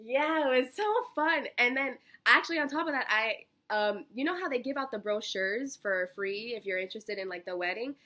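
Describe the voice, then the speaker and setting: Bright, bubbly young American woman. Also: a little high, fairly quick, hardly any pauses. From Miami, Florida, United States. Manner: bubbly, effusive, giggly, chatty. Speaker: job interview candidate, college student. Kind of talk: casual phone interview answers.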